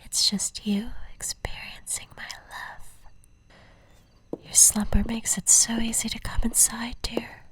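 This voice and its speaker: A woman playing an obsessive, possessive stalker in a whispered ASMR roleplay, speaking in a breathy, intimate way with tender menace. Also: on the high side, level tone, a bit bright. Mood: smug, adoring. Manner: slow, intimate, cooing endearments.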